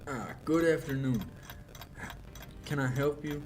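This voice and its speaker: A man's voice: medium pitch, a little slow, close to an everyday voice. A young man acting a courteous middle-aged clockmaker in 1940s radio style, polite, hesitant and deferential. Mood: polite, absentminded. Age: young adult. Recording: faint noise, clock ticking behind.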